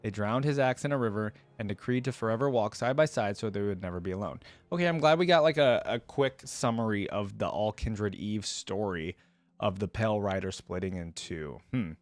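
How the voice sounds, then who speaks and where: A man's voice: lowish voice, fairly quick, dry recording. A man hosting a reaction video, reading lore aloud and commenting casually as he goes.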